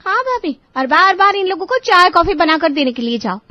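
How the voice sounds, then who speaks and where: A woman voicing an overworked, complaining young daughter-in-law in an animated story. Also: extremely high pitch, fairly quick, no room sound, muffled recording, voice pushed up. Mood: fed up. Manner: plaintive, respectful to her elder.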